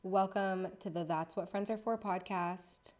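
A woman's voice: mid-range voice, steady tone, measured pace, darkish tone. Thirtysomething woman from the states, chatty podcast host, kinda nervous, rambles and backs up mid sentence, mid-height voice, talking from her apartment. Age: 30s. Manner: chatty and rambling, with restarts and self-corrections. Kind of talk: casual solo podcast chat.

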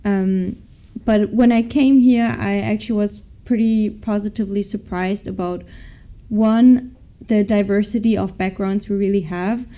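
A woman's voice: mid-range voice, steady tone, a little slow, dark tone. A young woman, a former athlete now studying business, answering interview questions in a hesitant, upbeat way with many restarts. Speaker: MBA student, former professional athlete.

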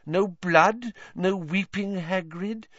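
Guy doing a fussy dreamy lady teacher, soft whispery voice, high and airy, dull dry sound. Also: slow pace, dry recording, exaggerated voice. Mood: probing, breathy.

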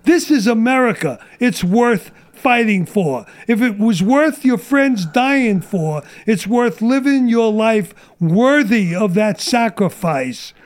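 A man's voice: high-pitched, animated, slow pace, few pauses. A middle-aged man ranting bluntly and profanely in a casual podcast chat, losing his voice. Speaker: guest.

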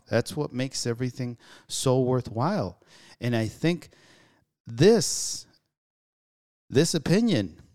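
A man with a smooth, clear voice talking through his thoughts in an earnest, musing monologue. He pauses and restarts often as he works out each idea. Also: medium pitch, a little mellow. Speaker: podcast host. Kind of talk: solo reflective monologue.

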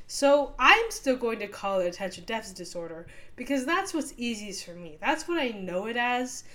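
A woman's voice: a little high, fairly bright tone. A young woman hosting a casual solo podcast, talking with a sore throat and rambling through many fillers and restarts. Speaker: podcast host.

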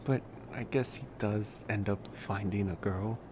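A man playing a laid-back, wry college-age vampire in an intimate ASMR roleplay, rambling casually and hesitantly with sighs and pauses. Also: on the low side, fairly even intonation, background noise, natural voice.

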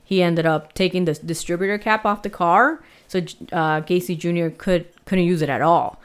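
A woman's voice: on the low side, moderate pace, smooth. A woman with a slight Spanish-influenced accent, co-hosting a casual true crime podcast and telling the story in a chatty way with fillers.

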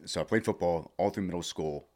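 A man's voice: sits low, a little fast, a little mellow. A man in his forties, a podcast guest recalling growing up, rambling with many restarts in casual studio chat. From Pennsylvania, USA. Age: 40s. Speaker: guest, future athletic director.

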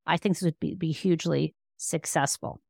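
A woman's voice: deep voice, fairly even intonation, moderate pace, vocal fry, a little mellow, no echo, clean studio sound. A woman speaking as an expert podcast guest, explaining conversationally and emphatically, often rephrasing as she goes. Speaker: interview guest, law professor and author.

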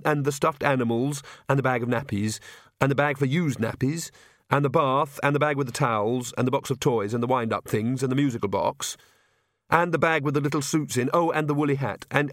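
A man's voice: medium pitch, no echo, clean studio sound, natural voice. A man voicing an earnest, bossy young queen and new mother who is easily indignant, in a storybook reading.

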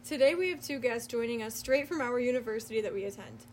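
A woman's voice: a little high, lively intonation, fast, slight background noise. A young woman co-hosting a casual podcast, introducing guests and asking them questions. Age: young adult. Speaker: podcast co-host.

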